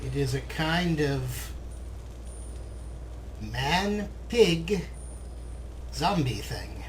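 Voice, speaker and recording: A man's voice: a little high, big pitch swings, very slow. A man giving casual, wryly musing commentary as he plays a video game. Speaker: let's play host. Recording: clear background game noise. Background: video game sound effects.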